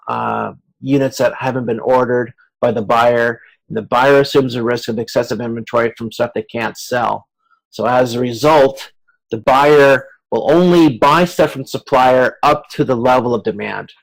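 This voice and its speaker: A man lecturing informally on a video conference, explaining conversationally in a halting way with pauses and self-corrections. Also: medium pitch, gravelly, poor audio. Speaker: university lecturer. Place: live video conference recording.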